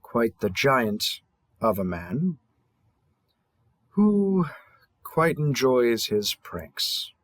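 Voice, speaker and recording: Slow-paced, courteous man playing a cheerful app host. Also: medium pitch, sing-song, dry recording. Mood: wry, informative. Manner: courteous, measured, salesman-like welcome delivery. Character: cheerful, polite male host of monster dating app. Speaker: host presenting matches. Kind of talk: scripted audio roleplay narration.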